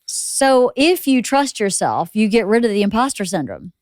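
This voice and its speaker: Female podcast host with a loud, opinionated delivery and a dry, close sound. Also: mid-range voice, big pitch swings, moderate pace, no room sound. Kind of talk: casual interview chat.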